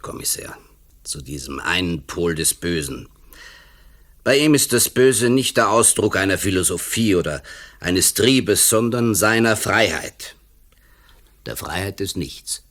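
An intellectual writer with a deep voice. He philosophises slowly and emphatically, brooding and faintly malicious, in radio play style. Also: a bit bright. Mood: brooding, insistent.

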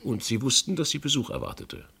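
An actor playing a probing, politely ironic and sceptical investigator in a German radio play, questioning formally and deliberately with a gravelly, husky voice. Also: male voice, mid-range voice, huge pitch swings, pushed and bright, heavily acted.

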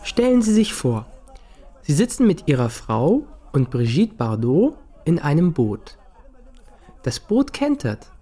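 A man's voice: a little high, sing-song, slow pace, dark tone, exaggerated voice. A young male actor playing a cheeky, curious Parisian boy in a German radio play.

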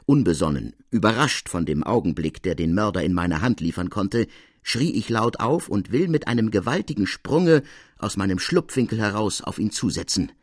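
A man's voice: fairly low pitch, sing-song, normal speed, husky, no room sound, clean studio sound. A man voicing an agitated, bitter police officer in dramatic, emphatic storytelling for an audiobook.

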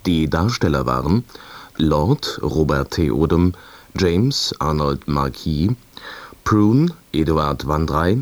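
Male announcer, extremely low voice, slow formal delivery, scripted radio reading. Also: expressive, dry recording.

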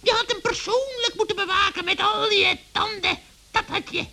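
A woman voicing a scornful, scolding witch with a shrill voice in a children's radio play. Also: normal speed, vocal fry, a crowd in the background. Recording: old hissy radio-play recording.

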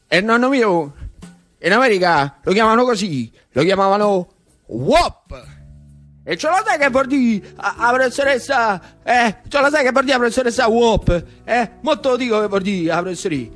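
A man playing an eager, indignant schoolboy in a radio monologue, with a Roman accent, halting and repeating insistent questions. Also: extremely high pitch, fairly bright tone, full character voice. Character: eager, indignant schoolboy proud of migrant grandfather.

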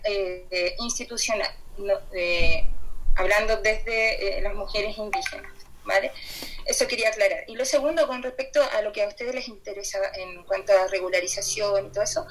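A woman's voice: fairly high pitch, a bit bright, low-quality recording. A woman with a Chilean accent speaking spontaneously in an online group debate, hesitant and searching for her words. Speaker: doctoral student and care worker speaking as participant. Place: online video call.

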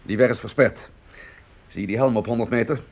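Gravelly, husky army lieutenant. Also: male voice, mid-range voice, fairly quick. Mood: brisk, commanding. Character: commanding army lieutenant, brisk, resolute and authoritative. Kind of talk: Dutch radio drama acting. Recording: dull, muffled old radio recording.

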